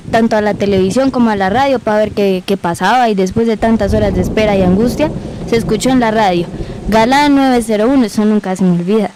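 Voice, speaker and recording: A woman's voice: medium pitch, noisy background, natural voice. A woman playing a folksy Colombian grandmother who tells stories colloquially in an amateur radio drama. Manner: colloquial, storytelling. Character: folksy storytelling Colombian grandmother, rueful, fond of her grandchildren.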